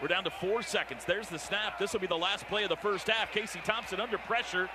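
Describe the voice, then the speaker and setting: An American man calling football play-by-play on the radio in clipped phrases, getting excitable on big plays. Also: high voice, quick pace. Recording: very noisy stadium radio broadcast. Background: loud stadium ambience.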